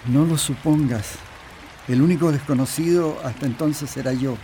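Man acting a mellow, regretful lover. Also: on the high side, normal speed, close to an everyday voice. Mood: defensive. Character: regretful, defensive man facing his old sweetheart. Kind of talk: radio drama acting. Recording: faint noise, rain ambience. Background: rain falling.

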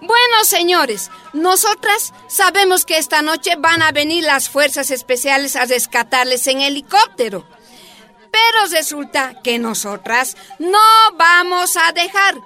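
An actress playing a brisk, resolute leader of miners' housewives, firm and matter-of-fact, with a Bolivian accent, in a radio drama. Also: female voice, sits high, bright, forceful tone, soft background music. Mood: firm, threatening.

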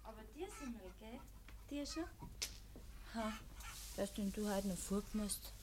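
An actress playing a defiant, sharp-tongued young farm maid with a Bavarian accent, pert and reproachful, in a 1950s dialect radio play. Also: female voice, medium pitch, measured pace, background noise, natural voice.

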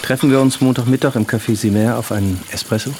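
An actor playing a discreet, probing investigator in a conversational radio drama scene. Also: male voice, medium pitch, steady tone, quick pace, close to an everyday voice. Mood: probing, casual. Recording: noisy kitchen with running water. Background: water and clicks.

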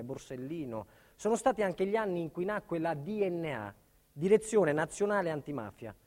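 Man playing embattled businessman, fairly high voice, earnest, emphatic, theatrical monologue, long hall reverb. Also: a little mellow, natural voice. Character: earnest, embattled Calabrian businessman recounting his life. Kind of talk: theatrical first-person monologue.

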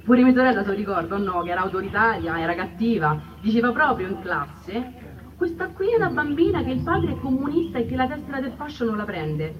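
A woman's voice: a little high, very animated, fairly quick, recorded from a distance, highs cut off, background noise. An actress playing an elderly Roman woman recalling her anti-fascist childhood, telling it colloquially in a Roman accent as stage testimony. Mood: reminiscing. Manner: colloquial storytelling. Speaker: stage actress in a radio theatre piece. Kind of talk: dramatised oral-history testimony in dialect.

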